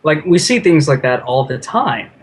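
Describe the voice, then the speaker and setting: A man chatting casually as a guest, with informal, halting, colloquial phrasing. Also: a little high, very animated, a little hiss or hum. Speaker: listener guest. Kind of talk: casual chat.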